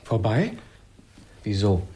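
An actor playing a lonely, emotional man on a remote planet in a German radio play, speaking in a halting, earnest way. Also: on the low side, big pitch swings, warm tone, husky, heavily acted. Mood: bewildered.

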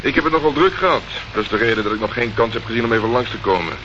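An actor playing a tough, unflappable private detective in a radio play, terse and dry. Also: male voice, mid-range voice, very fast, close to an everyday voice. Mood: dry, composed. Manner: terse, dry, probing questions. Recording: muffled, noisy old radio recording.

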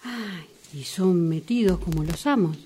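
A woman's voice: fairly low pitch, a little slow, dark tone, slightly rough. A woman in an amateur radio drama playing a fussy, solicitous retired old sister with a Rioplatense Argentine accent, given to fretful exclamations. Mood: plaintive gossip.

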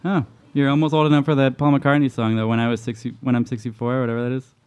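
Man, radio co-host, dry wisecracks, smooth resonant voice. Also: mid-range voice, level tone, fast talker, darkish tone. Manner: joking, wisecracking. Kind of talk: casual banter.

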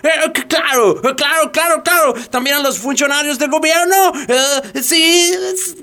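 A man's voice: extremely high pitch, a little slow, bright, forceful tone, slight background noise, full character voice. An actor playing a gleeful, greedy foreign businessman in a radio play. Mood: eager, scheming.

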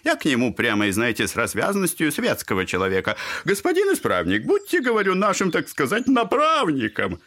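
A man's voice: on the high side, huge pitch swings, fairly quick, few pauses, sharp tone, heavily acted. An actor playing a garrulous, clownish old father in an audio drama, fawning and theatrical, rambling on with mock politeness. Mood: gleeful, clowning.

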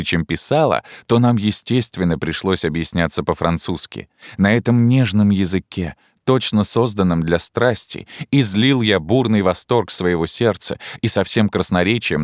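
A man reading a literary story aloud as an audiobook narrator, with expressive, measured delivery and drawn-out emphasis. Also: deep voice, no echo, studio quality.

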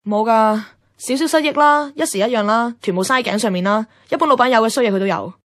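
An actress playing a blunt, nagging young best friend in a Cantonese radio drama, with a Hong Kong accent and a brisk, dramatic, teasing delivery. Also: female voice, on the high side, fairly bright tone, dry recording, studio quality, lightly acted. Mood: dramatic complaining. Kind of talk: radio drama acting and narration.

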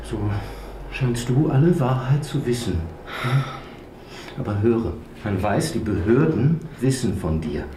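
A man's voice: mid-range voice, lively intonation, measured pace, warm tone, reverberant, slight background noise, natural voice. An actor playing a stern, status-minded statesman husband who speaks formally and reproachfully in a theatrical drama. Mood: stern, bitter. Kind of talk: theatrical German stage drama dialogue.

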